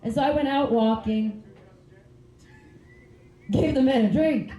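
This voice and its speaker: A woman's voice: high voice, slow pace, warm tone, reverberant, slight background noise, poor audio. A woman, doing a rambling, giggly stand-up comedy set on a club stage. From Finland. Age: 30s. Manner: chatty, giggly, rambling asides.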